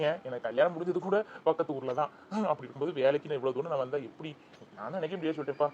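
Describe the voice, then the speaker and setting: A man's voice: a little high, racing, dull audio, heavy background noise, close to an everyday voice. A young man playing an anxious father who calls out over and over for his child. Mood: reluctant. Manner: frantic, repeats name calls. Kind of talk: Tamil drama acting.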